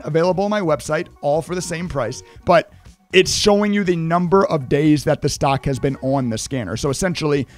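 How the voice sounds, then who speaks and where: A fairly high-voiced man in his thirties, hoarse and fading as he walks viewers through a trading tool. He talks with emphatic energy and keeps asking "right?". Faint music plays behind him. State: losing his voice. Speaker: trading educator. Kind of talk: screen-share tutorial.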